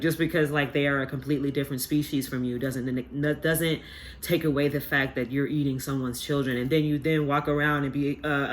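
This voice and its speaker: A man's voice: mid-range voice, a bit bright, slight background noise. A man giving a casual collective tarot reading, rambling and earnest with many fillers, his voice stuffy from a sinus infection. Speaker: tarot reader.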